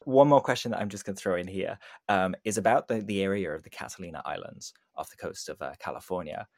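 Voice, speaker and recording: A man's voice: sits low, quick pace, gravelly, darkish tone, no room sound, clean studio sound. A man hosting an interview, earnestly relaying listener questions with small stumbles. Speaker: podcast host.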